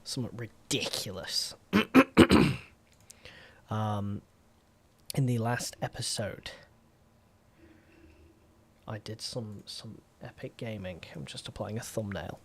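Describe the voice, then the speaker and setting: A man's voice: lowish voice, slow, gravelly, pauses often, a bit bright, a little hiss or hum. A man with a slightly stuffy voice chatting casually as a live game streamer, rambling with wry asides and mild swearing. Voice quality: stuffy, congested. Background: music.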